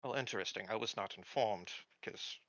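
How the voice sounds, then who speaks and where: Bright, low-pitched male tabletop player. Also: normal speed, dry recording, muffled recording. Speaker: player in a tabletop roleplaying game. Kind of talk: casual in-character roleplay and stating actions.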